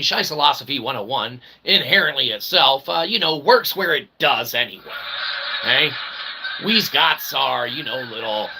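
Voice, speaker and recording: A man delivering a rambling comic monologue as a channel host, mock-pompous and wordy, with a theatrical delivery and a gravelly voice. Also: mid-range voice, pushed and bright. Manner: mock-pompous, wordy, repeatedly ending phrases with "okay". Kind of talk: rambling philosophical comedy monologue.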